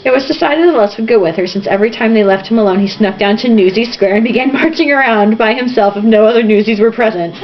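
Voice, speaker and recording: A woman's voice: fairly high pitch, fast talker, hardly any pauses. A woman reading a novel aloud informally, theatrical and irreverent, with asides. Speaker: reader. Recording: dull lo-fi home recording, faint noise.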